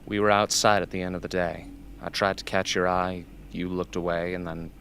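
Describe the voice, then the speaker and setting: Man acting deep-voiced, bitter young former captive. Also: level tone, moderate pace, a bit bright. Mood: searching, remembering. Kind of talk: amateur radio play acting. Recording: noisy amateur recording.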